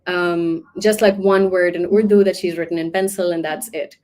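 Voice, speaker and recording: A woman's voice: lowish voice, steady tone, normal speed, darkish tone. A woman from Pakistan, an art professor, answering interview questions in a reflective, talkative way with many casual fillers.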